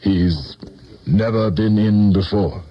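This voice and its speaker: An actor playing an ambitious, defensive middle-aged politician in a theatrical, intense 1970s radio drama style. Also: male voice, fairly low pitch, takes it slowly. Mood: shaken. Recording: dull lo-fi vintage radio recording.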